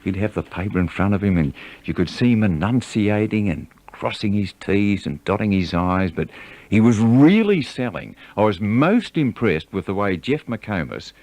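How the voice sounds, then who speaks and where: A man's voice: lowish voice, big pitch swings, normal speed, a little mellow, dry recording. A man, a radio announcer, reminiscing conversationally in an interview.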